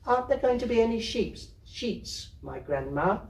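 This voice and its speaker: Man reading as little storybook girl, raised lively pitch, wide-eyed prattle, roomy distant recording. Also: moderate pace, gravelly, exaggerated voice. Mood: playful, pleading. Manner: wide-eyed childlike prattle and eager questions. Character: curious, imaginative little girl, chattering and questioning. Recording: roomy echo, distant microphone.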